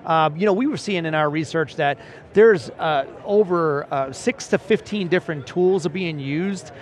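A man in his forties with a voice pitched a little high for a man talks through survey findings at a steady, explanatory pace. He is seated at a booth on a busy convention floor and keeps checking in with "right?" as he makes each point. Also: moderate pace. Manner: steady explanatory, cites statistics, frequent "right?". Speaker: principal analyst. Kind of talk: conversational analyst interview.

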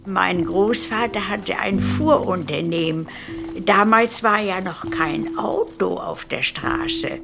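A woman of about 100 from Königsberg in former East Prussia, reminiscing in an unhurried, halting way in a documentary interview. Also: lowish voice, vocal fry, music in the background. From Königsberg, East Prussia, Germany. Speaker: documentary interviewee, eyewitness recalling her life. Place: furnished living room, indoors.

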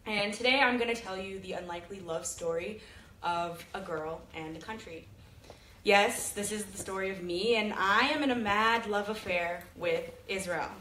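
Young woman, jokey storytelling talk on stage, mid pitch, roomy hall echo. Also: moderate pace, sharp tone, slight background noise. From Sacramento, California. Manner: chatty, jokey, self-deprecating storytelling. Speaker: presenter. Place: large auditorium with audience. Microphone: handheld mic filmed from the audience. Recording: echoey hall, audience phone recording.

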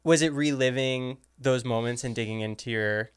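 A man's voice: mid-range voice, moderate pace, clear, resonant tone, no echo, studio quality. A man hosting a podcast who asks a guest brief, curious questions in a casual interview. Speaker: podcast host.

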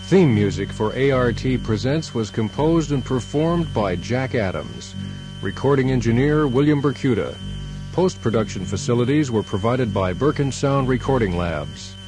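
A man's voice: medium pitch, animated, normal speed. A man reading a scripted closing announcement as a radio drama announcer. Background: theme music.

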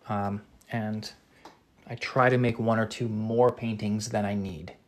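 A Canadian man in his thirties, a painter answering live-chat questions in a relaxed, casual way. He thinks aloud with many ums and restarts and articulates some words very precisely. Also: fairly low pitch, unhurried.

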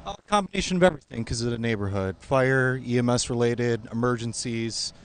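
A man's voice: medium pitch, brisk, clear, resonant tone. A man in his thirties, a firefighter, giving terse factual answers in a live outdoor interview. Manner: matter-of-fact, terse. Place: outdoors on a fire truck ladder. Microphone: reporter's handheld microphone.

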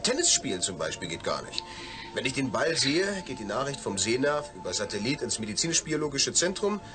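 A man's voice: medium pitch, big pitch swings, fairly quick, over music, close to an everyday voice. An actor playing a cheerful, tipsy male astronaut chatting in a radio play. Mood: cheerful, chatty.

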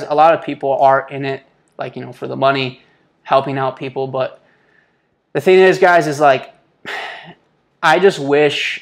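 A man's voice: mid-range voice, creaky. A man in his twenties talking informally and with slang to his viewers, like a motivational entrepreneur vlogger. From born in Israel. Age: 20s. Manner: informal and slangy, often addressing listeners as guys. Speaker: online entrepreneur, YouTuber and mentor. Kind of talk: casual motivational vlog talk to camera. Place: small home office, indoors. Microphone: lapel mic.

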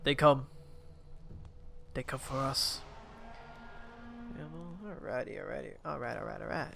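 A man hosting a let's play, chatting and joking in an animated way over his gameplay. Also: medium pitch, a little slow, creaky, noisy background. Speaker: gaming channel host.

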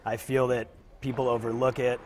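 A man in his thirties talking casually about restaurants as a guest in a TV news studio, relaxed and hesitant, with lots of fillers. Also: mid-range voice, normal speed, slight background noise.

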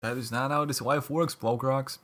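A man's voice: mid-range voice, steady tone, normal speed, fairly bright tone. A man from Latvia casually chatting with viewers on a game livestream, joking and laughing as he protests their teasing. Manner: jokey, laughs often, mock-protesting at chat teasing. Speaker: livestream caster. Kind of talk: casual live-stream chat with viewers.

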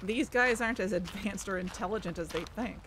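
A woman's voice: medium pitch, brisk, a bit bright, a little hiss or hum, close to an everyday voice, poor audio. A woman playing an earnest, suspicious amateur investigator hosting a podcast in a scripted audio drama. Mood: grave, suspicious. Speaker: podcast host.

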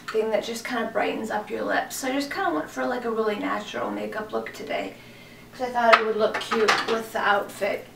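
A woman in her thirties, hoarse from a cold, chatting casually and gushing about clothes on camera in a small room, with lots of fillers. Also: fairly high pitch, slight background noise. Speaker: fashion vlogger. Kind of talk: casual clothing haul chat. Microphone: camera mic from a few feet away. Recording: echoey room, mic at a distance.

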